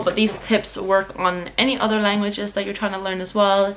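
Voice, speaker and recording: A woman's voice: a little high, fairly bright tone, slight background noise. Chatty young woman vlogging to camera. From Sweden. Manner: chatty, rambling with fillers and restarts. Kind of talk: casual talk to camera.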